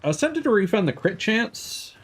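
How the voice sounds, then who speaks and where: A man's voice: high voice, big pitch swings, normal speed. Easygoing man musing through a game. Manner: thinks aloud, loose and rambling, teasing on-screen characters. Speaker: streamer playing a game. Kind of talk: casual let's-play commentary.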